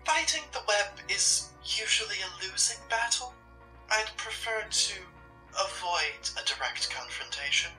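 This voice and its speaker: Blunt, secretive shopkeeper, female performer, horror roleplay: hard bright edge, curt dry delivery, roomy sound, music underneath. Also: mid-range voice, expressive, unhurried. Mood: guarded. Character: blunt, guarded, pragmatic occult shopkeeper with secret plans. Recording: roomy, distant mic with music.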